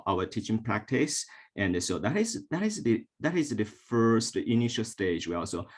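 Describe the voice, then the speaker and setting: Hesitant man with a Chinese accent. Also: fairly low pitch. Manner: hesitant, many fillers and restarts. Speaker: Chinese language teacher. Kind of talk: halting speech at a ceremony.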